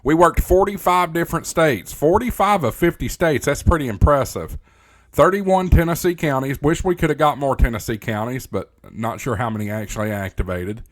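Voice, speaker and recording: A man's voice: on the high side, moderate pace. Man hosting a hobby video channel. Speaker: host of a ham radio channel. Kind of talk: casual presenter-style video update.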